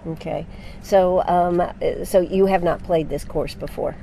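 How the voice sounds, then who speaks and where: Chatty older woman, mellow voice. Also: lowish voice, fairly even intonation, creaky, slight background noise, low-quality recording. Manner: chatty, unhurried, many fillers. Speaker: radio interviewer. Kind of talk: live radio interview. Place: outdoors on a lawn at a golf course. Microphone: handheld mic.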